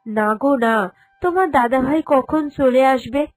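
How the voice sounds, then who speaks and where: A woman voicing a gentle, dutiful young village bride in a cartoon, speaking in a considerate, accepting way. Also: high voice, measured pace. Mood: busy, demurring. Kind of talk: cartoon character dialogue. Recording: music behind the voice.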